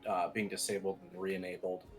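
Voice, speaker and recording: A man's voice: low-pitched, level tone, a little fast, over music. A man giving an informal technical tutorial as team lead, explaining things in a loose, rambling way. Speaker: software team lead, instructor.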